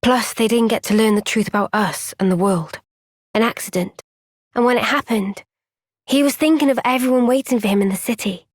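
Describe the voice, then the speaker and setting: A woman playing an earnest, thoughtful young soldier in a fantasy video game cutscene, speaking in a gentle, measured, reflective way. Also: fairly high pitch, no room sound, clean studio sound, natural voice. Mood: reflective, sincere.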